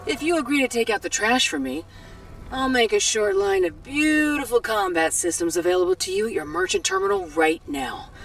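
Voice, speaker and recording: An actress playing a shrewd, friendly weapons dealer in a video game, talking in a lilting, slangy, salesmanlike way. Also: female voice, high-pitched, big pitch swings, normal speed, vocal fry, recorded from a distance, soft background music, heavily acted. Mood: persuasive, upbeat. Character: shrewd, friendly female weapons dealer, folksy frontier trader.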